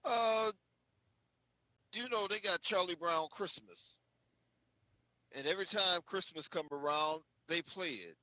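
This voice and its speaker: Male talk-show participant, higher-pitched and bright, casual conversational style. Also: unhurried, pauses often, no echo, low-quality recording. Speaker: show participant giving opinions. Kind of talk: casual chat.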